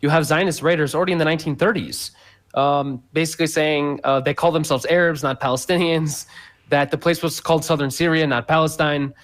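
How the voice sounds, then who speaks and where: A man's voice: fairly high pitch, steady tone, a little fast, fairly bright tone. A man speaking as a guest scholar in a radio interview, explaining at length with many fillers and restarts.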